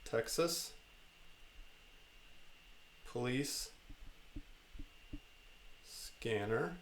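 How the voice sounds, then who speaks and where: A man's voice: medium pitch, animated, extremely slow, a little hiss or hum. A man narrating an instructional screencast as the presenter, guiding the listener step by step. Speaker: tutorial presenter.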